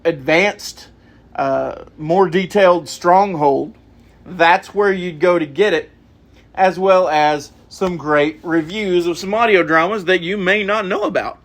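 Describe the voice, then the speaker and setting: A man's voice: high voice, takes it slowly. A man hosting a podcast, reading announcements casually with ums and goofy asides. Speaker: podcast host.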